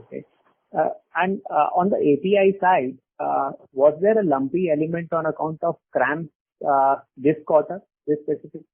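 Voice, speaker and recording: A dry, narrow phone line carries a man with a raspy, slightly high voice. He asks questions as an analyst, pausing hesitantly. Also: no echo. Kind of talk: earnings call questions. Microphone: telephone.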